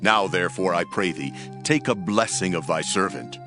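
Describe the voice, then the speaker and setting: Male actor as ancient military commander, proud and earnest, deep declamatory delivery, prominent music underneath. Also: animated, normal speed. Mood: humbled, earnest. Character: proud Syrian army captain, indignant then humbled and earnest. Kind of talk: dramatized scripture reading. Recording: music behind the voice.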